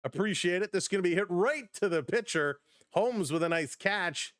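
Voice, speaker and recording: A man hosting a casual live-stream baseball play-by-play, chatty and upbeat as he greets viewers, his voice slightly hoarse from overuse. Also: sits high, expressive, normal speed, a bit bright, no echo, clean studio sound. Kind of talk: casual play-by-play with chat reactions.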